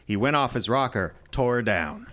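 A man performs a hard-boiled suspect from a radio crime play. He is cocky and brusque, tossing out slang defensively. His medium-pitched voice moves up and down with lots of animation. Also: lively intonation, normal speed, fairly bright tone, close to an everyday voice. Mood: scornful, accusing. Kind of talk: old-time radio crime drama acting.

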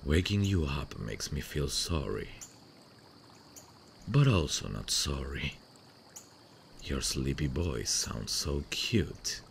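A man playing a sweet, devoted long-distance boyfriend in an intimate ASMR roleplay, speaking tenderly and soothingly with hesitant pauses. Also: very deep voice, sing-song, vocal fry, slight background noise, heavily acted. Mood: shy, longing. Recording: faint running-water nature sounds behind. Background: stream nature sounds.

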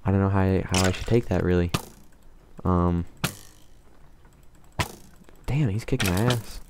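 A man calmly talking to himself while playing a game, in a soothing, mumbling way. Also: low-pitched, unhurried, background noise. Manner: calm, mumbling, self-talking. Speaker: gamer. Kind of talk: casual let's play commentary.

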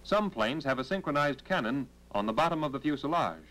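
An American man delivering scripted 1940s military training film narration in a formal, measured, instructional manner on a vintage film soundtrack. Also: mid-range voice, animated, fairly bright tone, low-quality recording.

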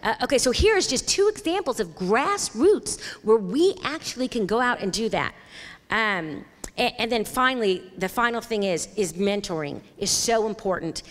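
Chatty middle-aged woman judge in a hall. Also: mid-range voice. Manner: chatty, animated, with gestures. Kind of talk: panel speech. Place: large hall, seated at a panel table. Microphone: table microphone on a stand. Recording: reverberant lecture hall.

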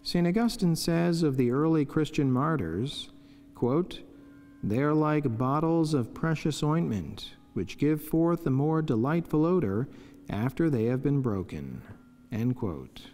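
Middle aged friar guy reading a reflection, real slow n formal, soft dark tone, big chapel echo. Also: a little high, lively intonation. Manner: solemn, measured, formal. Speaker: friar preaching. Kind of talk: read devotional reflection and prayer. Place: wood-panelled chapel indoors, at a lectern. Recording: long echoing chapel reverb.

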